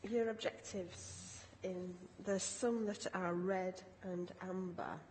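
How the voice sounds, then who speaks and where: A woman with a croaky voice, speaking formally and haltingly as a councillor in a committee meeting. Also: on the low side, fairly even intonation, a little mellow. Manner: hesitant, halting. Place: large indoor council meeting room. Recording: echoey hall with background noise.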